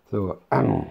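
A man's voice: mid-range voice, gravelly, breathy edge, dull audio. A man who has a cold, joking crudely and rambling between songs during a live stream. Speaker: singer performing live. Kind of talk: casual banter between songs on a livestream. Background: loud piano and guitar.

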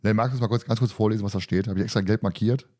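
A man's voice: on the low side, fairly even intonation, racing, warm tone. A man hosting a casual podcast discussion, recapping and commenting in a colloquial, teasing, joking way. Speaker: podcast host.

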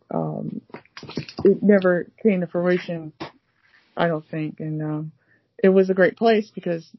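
A woman's voice: fairly low pitch, no room sound, highs cut off. A woman from the United States chatting casually about learning languages, rambling with many fillers and restarts. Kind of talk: casual conversation.

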